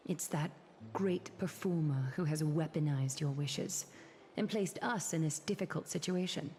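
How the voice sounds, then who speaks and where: An actress playing a regal, knowing noblewoman in a fantasy video game, speaking in a formal, poised and deliberate way. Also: deep voice, a little mellow, a little hiss or hum. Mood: probing, composed. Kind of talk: video game story acting.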